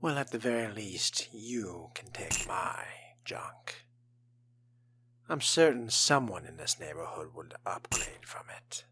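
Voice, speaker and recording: A man role-playing a smooth, menacing, haughty young vampire in a game, speaking in a measured, theatrical, formal way. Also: lowish voice, animated, bright, forceful tone, slight background noise, heavily acted. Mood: haughty, dissatisfied. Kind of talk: in-character role-play game commentary.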